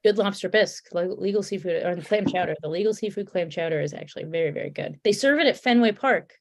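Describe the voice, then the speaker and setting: A woman's voice: medium pitch, fast talker, a little mellow. A woman with a Connecticut accent, a guest chatting casually and rambling with restarts. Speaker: podcast guest, beer comic artist. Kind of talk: casual chat.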